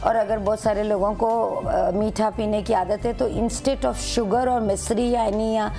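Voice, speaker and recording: A woman's voice: medium pitch, steady tone, a little slow, gravelly, mellow, soft background music. A woman, a doctor on a call-in show, giving callers long, rambling explanations. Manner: chatty, explanatory, rambling, mixing in English words. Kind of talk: advice to callers in a TV studio.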